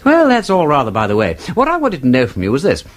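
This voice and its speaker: An actor playing a shrewd, suave gentleman detective in a radio mystery, urbane and drily polite as he probes. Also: a little high, wildly swooping pitch, quick pace. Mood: discreetly inquiring. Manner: urbane, probing, drily polite, feigning casualness.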